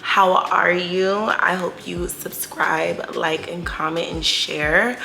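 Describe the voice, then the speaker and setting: A woman's voice: lowish voice, creaky, bright, forceful tone, a little hiss or hum. A woman in her twenties talking casually in a question-and-answer vlog, slangy, chatty and candid, stretching words for emphasis. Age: 20s. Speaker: YouTube vlogger.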